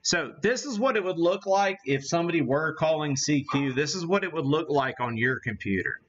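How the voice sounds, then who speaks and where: A man hosting a casual live demo, thinking aloud in a halting, folksy, self-deprecating way. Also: on the high side, animated, fairly bright tone. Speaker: host of a live ham radio demonstration. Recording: dull, muffled lo-fi sound.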